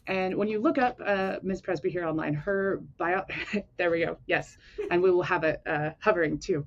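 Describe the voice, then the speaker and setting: Woman interviewer, lowish voice, casual and upbeat, muffled lo-fi recording. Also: moderate pace, a little hiss or hum. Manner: upbeat, chatty, laughs easily. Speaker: podcast host and interviewer. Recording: dull lo-fi sound.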